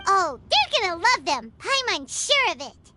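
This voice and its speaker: A tiny, cheerful fairy girl from a video game. Her voice is extremely high and bright, swooping all over as she chats in a perky, informal way. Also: very animated, unhurried, a little hiss or hum, exaggerated voice. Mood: upbeat, reassuring. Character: small cheerful chirpy fairy-like companion girl.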